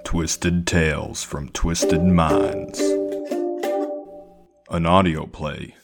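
Very deep-voiced man, measured delivery. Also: fairly even intonation, gravelly, loud music behind the voice. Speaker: podcast host and audio drama narrator. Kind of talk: horror story narration and introduction.

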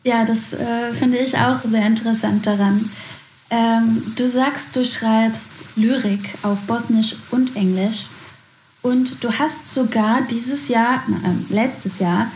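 Slow-spoken woman host, roomy sound. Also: on the high side, steady tone, darkish tone, slight background noise. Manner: encouraging, measured questions. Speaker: podcast host. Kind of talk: podcast interview.

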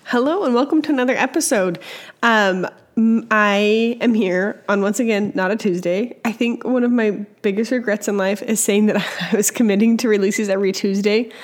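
A young woman with a slightly hoarse, scratchy voice from a cold, hosting a casual educational podcast and chatting with lots of fillers. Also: medium pitch, moderate pace. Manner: chatty, with many fillers and restarts. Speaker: podcast host and early childhood educator.

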